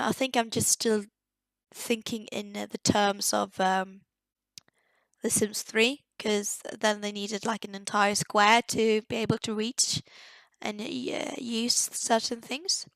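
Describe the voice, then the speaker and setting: Young woman, higher voice, dry close sound, slight croak; hesitant casual commentary. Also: no echo. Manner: hesitant, searching for words, many fillers. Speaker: game builder. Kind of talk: casual voice-over commentary on a game build.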